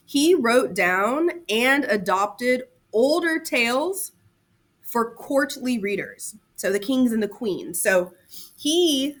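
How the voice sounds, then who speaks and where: A woman's voice: on the high side, a bit bright. A woman teacher giving a casual lesson to students, talking informally with slang and chatty asides and repeating key terms.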